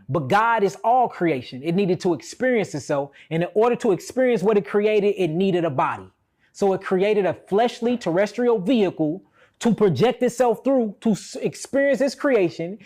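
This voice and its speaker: An American man giving an impassioned, conversational spiritual talk, explaining emphatically and checking in with his listener. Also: high-pitched.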